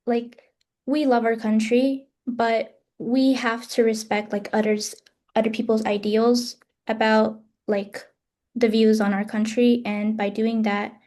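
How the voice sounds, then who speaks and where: A young woman from the United States answers panel questions over a video call. Her voice sits a little high, and she talks in hesitant bursts full of fillers and restarts. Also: mellow. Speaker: student presenter. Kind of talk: online panel Q&A.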